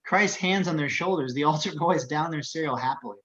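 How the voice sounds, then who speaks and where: A man's voice: on the high side, fairly quick, a bit bright, dull audio. A man reading out the stage directions of a film script as scripted narration.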